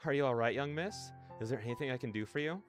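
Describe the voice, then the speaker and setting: Man voicing a soft, polite, reassuring young woman. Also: medium pitch, fast, soft background music, pitched above the performer's own voice, lightly acted. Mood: gentle, reassuring. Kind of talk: reading visual novel dialogue aloud.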